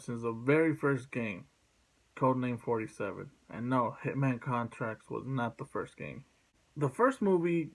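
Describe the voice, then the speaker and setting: A man speaking casually as a video host, blunt and sweary, in a halting, rambling way. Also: mid-range voice, poor audio. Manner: blunt, profane. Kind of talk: casual opinion vlog.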